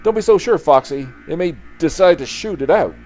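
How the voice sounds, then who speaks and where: A man's voice: fairly high pitch, expressive, fairly quick, darkish tone, soft background music, voice pushed up, natural voice. A man voicing a bold, righteous, wisecracking superhero in a punchy, melodramatic comic book reading. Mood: cocky.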